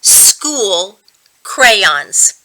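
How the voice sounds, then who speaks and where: A woman's voice: medium pitch, very animated, extremely slow, bright, forceful tone, exaggerated voice, poor audio. A woman reading a storybook as a strict, bossy first grade teacher, firmly instructing her class.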